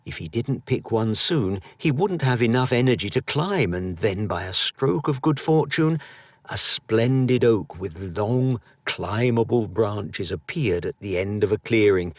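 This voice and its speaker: A man narrating a children's story expressively, with dramatic, drawn-out emphasis. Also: fairly low pitch, lively intonation, no room sound. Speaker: audiobook narrator.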